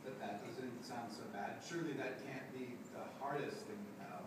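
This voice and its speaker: A man's voice: medium pitch, normal speed, close to an everyday voice. A man voicing a boastful but nervous Viking warrior in a live puppet show, stammering and hesitant. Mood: curious, uneasy. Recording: echoey room, noisy, distant mic.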